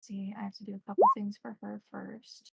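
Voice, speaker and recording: A woman's voice: medium pitch, level tone, a little fast, gravelly, pushed and bright, a little hiss or hum. A woman narrating a tabletop roleplay and making rules calls as game master.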